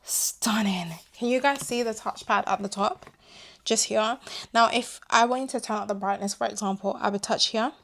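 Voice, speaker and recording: This is a young woman, talking to camera in a loose, chatty way. She is animated and wanders off into little asides. Her voice is worn and a touch hoarse. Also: mid-range voice, normal speed. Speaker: vlogger reviewing her tech purchases. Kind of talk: casual chat to camera.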